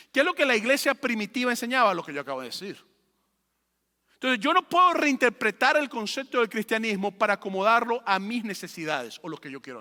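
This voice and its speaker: A man's voice: very high-pitched, bright, forceful tone. A man preaching a sermon as a pastor, teaching emphatically with rhetorical questions and repeating phrases for effect. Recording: long hall reverb.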